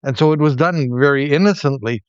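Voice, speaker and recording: A middle-aged Canadian man, a former professor, telling personal stories in a relaxed conversation with many restarts. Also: on the high side, brisk, clear, resonant tone, dry recording, dull audio. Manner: reflective storyteller, many restarts. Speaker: podcast co-host, former professor. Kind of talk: casual conversation, storytelling.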